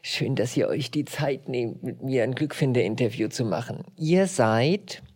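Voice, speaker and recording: A man's voice: medium pitch, unhurried, a little mellow, husky. A man hosting an interview podcast, effusive and enthusiastic as he introduces and questions his guests. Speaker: podcast host. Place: castle over a lake.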